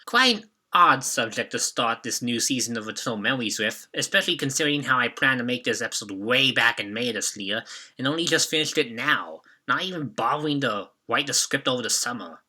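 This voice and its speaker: Young male reviewer with a sharp, forceful, very bright voice, reading scripted retrospective commentary. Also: mid-range voice, animated, brisk. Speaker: video reviewer and host.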